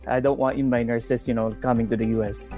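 A man with a mellow, unforced voice and English as his second language. He talks casually and earnestly as an interviewed nurse, circling back to repeat his points. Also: mid-range voice, level tone, a little fast, soft background music. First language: not English; English is second language. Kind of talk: casual anecdotal conversation.

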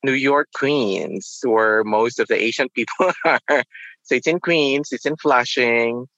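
A man, talking in a reflective, halting way as a nurse being interviewed. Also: medium pitch, a bit bright, no room sound, muffled recording. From Philippines. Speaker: nurse guest. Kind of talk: casual interview.

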